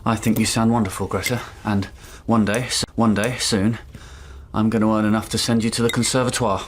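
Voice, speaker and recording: A man's voice: on the low side, flat delivery, brisk, slight background noise, close to an everyday voice. An actor playing a meek, nervous young salesman and dutiful son in a radio drama, hesitant and apologetic. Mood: encouraging. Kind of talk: naturalistic radio drama acting.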